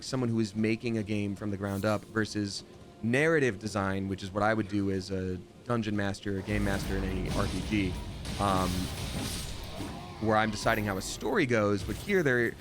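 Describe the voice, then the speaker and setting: A man chatting casually and at length while streaming a video game, rambling along and jumping up in excitement. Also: fairly low pitch. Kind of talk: live gameplay commentary. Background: game music.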